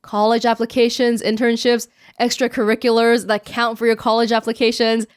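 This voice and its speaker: A woman's voice: a little high, level tone, brisk, clear, resonant tone, no room sound. A young woman from Canada answering viewer questions in a casual vlog, chatty and self-mocking, speaking close to the microphone. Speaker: YouTuber. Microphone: close studio mic.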